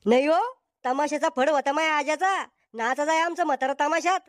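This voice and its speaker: A woman's voice: sits high, brisk, no room sound, clean studio sound, lightly acted. A woman voicing a blunt, quarrelsome village character in a comedy cartoon. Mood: argumentative. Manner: blunt, bickering.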